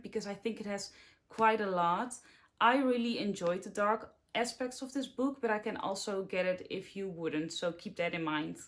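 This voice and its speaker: Chatty Dutch woman in her twenties. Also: mid-range voice. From Netherlands. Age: 20s. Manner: chatty, rambling, upbeat. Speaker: book vlogger. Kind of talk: casual book review vlog.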